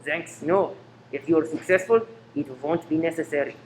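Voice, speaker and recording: A man playing a menacing spy ringleader in a radio play, measured and scornful as he gives orders. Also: fairly high pitch, a little mellow, lightly acted. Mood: smoothly menacing. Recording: noisy room recording.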